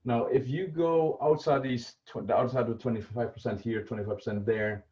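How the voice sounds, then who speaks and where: A man's voice: medium pitch, fairly even intonation, normal speed, mellow. A middle-aged man with a Dutch accent, explaining budgeting in a casual livestream with many fillers and restarts. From Netherlands. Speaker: personal finance presenter. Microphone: video call. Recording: muffled lo-fi video call audio.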